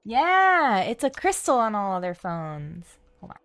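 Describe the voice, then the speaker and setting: A woman game streamer with a medium-pitched voice chats casually to her viewers. She rambles playfully and with animation while music plays behind her. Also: big pitch swings, unhurried, a bit bright. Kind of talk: casual livestream chat. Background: background music.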